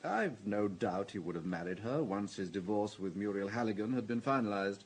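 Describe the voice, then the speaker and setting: An actor playing a guarded, stiffly proper business advisor in a radio drama, speaking formally with defensive hesitations. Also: male voice, lowish voice, a little fast, slight background noise, lightly acted.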